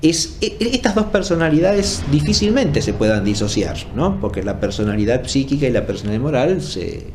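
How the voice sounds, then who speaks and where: A man giving an explanatory academic lecture off the cuff, deliberate and often checking for agreement with short tag questions. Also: mid-range voice, big pitch swings, darkish tone, a little hiss or hum. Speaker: philosophy lecturer.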